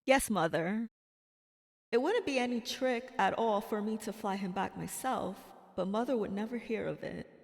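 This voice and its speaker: A woman's voice: medium pitch, normal speed, vocal fry, natural voice. A woman playing an earnest, headstrong young Amazon princess in 1940s radio serial style, pleading in manner, with a distant reverberant sound. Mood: earnest, wistful.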